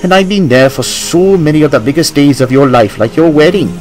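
A male reader as a wistful old engine, gentle and fond, voice a touch higher, storybook. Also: brisk, faint music behind, natural voice, rough recording.

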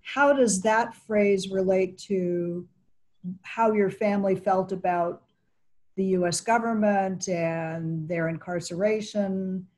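A woman moderating an online panel from her living room, asking measured questions and thanking each guest before moving on. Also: mid-range voice, steady tone, a little mellow. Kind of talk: interview questions. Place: home living room, indoors. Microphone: video call.

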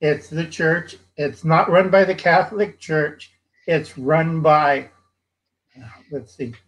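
A man's voice: fairly high pitch, fairly even intonation, slow, low-quality recording. A middle-aged man on a headset in a casual video call, losing his voice and chatty. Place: indoors, home.

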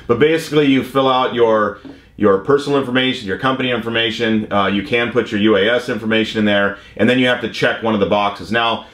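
A man's voice: lowish voice, fairly even intonation, quick pace, fairly bright tone. An American man in his fifties giving an informal, conversational explanation as a vlog host, repeating his key points. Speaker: vlog host, commercial drone pilot. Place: indoor workshop or studio room. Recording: roomy, echoing indoor sound.

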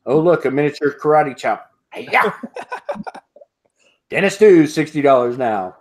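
A middle-aged man hosts a live online auction from his home, calling out bids in a steady, repetitive rhythm. His voice sits slightly high for a man. He laughs often between calls, and the sound comes through an earbud headset on a video call. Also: a little slow. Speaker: auctioneer host. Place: home room with sports memorabilia.

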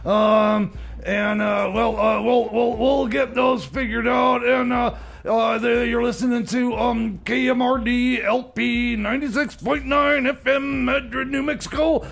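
A man playing a flustered, exasperated, stammering radio DJ in a comedy radio show, raspy and halting. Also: flat delivery, fairly bright tone, faint music behind, heavily acted. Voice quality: high-pitched, gravelly and raspy. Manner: halting, stammering, filler-heavy, rambling. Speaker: radio DJ and host. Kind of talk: comedy radio theater, bumbling on-air banter.

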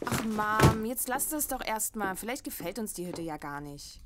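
An actress playing a snotty, sarcastic sixteen-year-old daughter in an audio drama, talking in slang. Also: female voice, mid-range voice, animated, normal speed, heavy background noise, close to an everyday voice. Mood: annoyed, sarcastic. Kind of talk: acted horror audio drama dialogue.

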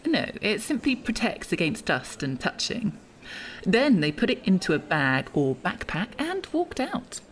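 A woman's voice: mid-range voice, very animated, unhurried. A woman acting as a prickly, guarded museum official in an audio drama, sarcastic and condescending. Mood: sardonic, defensive.

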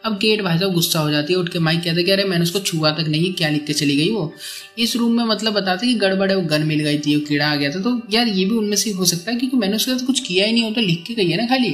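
A man's voice: sits high, quick pace, a bit bright. A man narrating a movie plot as a casual voice-over, conversational and colloquial, often rephrasing as he goes. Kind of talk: casual film plot explanation in storytelling voice-over. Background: background music.

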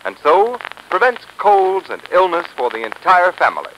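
A man's voice: a little high, pushed and bright. An American man announcing a 1930s radio advertisement in a formal, emphatic selling manner. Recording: noisy old 1930s radio recording.

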